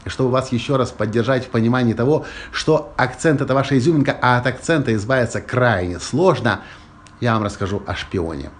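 Brief: male podcast host, Russian-Ukrainian accent, emphatic motivational monologue, medium pitch. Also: brisk, slight background noise. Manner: emphatic, repeats key phrases, small restarts.

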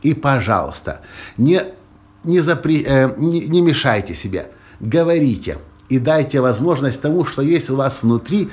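A man's voice: mid-range voice, animated, normal speed, darkish tone. A man with a Russian-Ukrainian accent hosting a motivational podcast, talking straight to listeners and repeating his key phrases for emphasis. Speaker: podcast host.

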